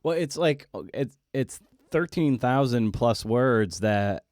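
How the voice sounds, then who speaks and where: Man, podcast host, middle pitch, dry recording, casual interviewing, conversational phrasing. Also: expressive, takes it slowly, a little mellow, no echo.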